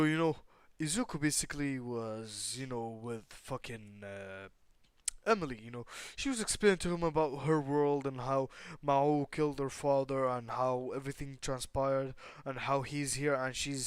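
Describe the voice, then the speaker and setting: Clear-voiced man casually rambling through a story. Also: medium pitch, no room sound. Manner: rambling, halting, casual swearing. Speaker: storyteller and host of a fan what-if channel. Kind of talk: casual improvised retelling of anime fan fiction with acted dialogue.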